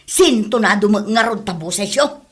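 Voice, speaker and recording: A woman's voice: mid-range voice, lively intonation, measured pace, close to an everyday voice. A woman with an Ilocano accent playing a jealous, angry wife who scolds in a comedy audio drama. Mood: scolding, suspicious. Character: jealous, furious wife scolding husband and neighbours.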